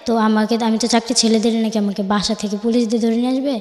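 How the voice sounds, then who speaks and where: Young Bangladeshi woman, high voice, halting personal storytelling with reported dialogue, echo-heavy room. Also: little pitch movement, a little mellow. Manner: halting, self-correcting, acts out reported dialogue. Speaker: guest telling life story. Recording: echoey, reverberant room.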